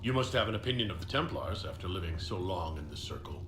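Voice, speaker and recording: A man playing a polite, inquisitive hero in a fantasy video game, asking questions in a calm, courteous way. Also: deep voice, fast talker. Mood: earnest, curious. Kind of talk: scripted video game dialogue. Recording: roomy echo, clear background noise.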